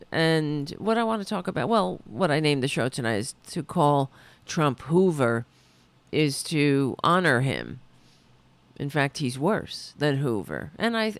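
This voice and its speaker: A woman hosting her own liberal talk show, chatting loosely and correcting herself as she goes, a little hoarse. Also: low voice, no echo. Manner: rambling, self-correcting, chatty. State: losing her voice. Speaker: podcast host. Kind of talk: casual political talk show.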